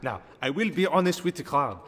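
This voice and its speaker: A man's voice: on the high side, big pitch swings, a little fast, a bit bright. A man playing a showy, wry fantasy auctioneer with grandiose sales patter in an audio drama, recorded with long echoing reverb. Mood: wry salesmanship.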